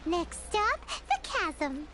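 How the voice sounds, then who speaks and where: Very high-pitched childlike cheerful game character. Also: female voice, big pitch swings, drawn-out words, background noise, full character voice. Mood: pleased, confident. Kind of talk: video game voice acting.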